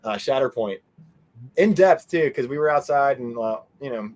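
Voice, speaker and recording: A man giving a casual interview as a guest, animated and meandering, often trailing off mid-sentence. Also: medium pitch, moderate pace. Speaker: interview guest, fan convention organizer. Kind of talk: casual rambling interview chat.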